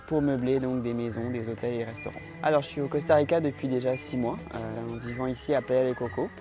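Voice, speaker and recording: A calm man from France talks at a middle pitch, pausing often with filler sounds as he answers questions. Music plays clearly beneath his voice throughout. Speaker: interior designer, interviewee. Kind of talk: casual interview answers. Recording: music playing underneath.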